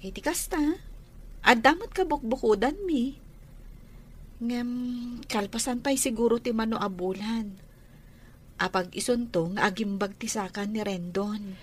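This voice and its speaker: A woman's voice: fairly high pitch, a little slow, pauses often. A woman playing a grateful, affectionate young wife in an Ilocano radio drama, with an Ilocano Filipino accent. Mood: grateful, hopeful. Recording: faint background noise.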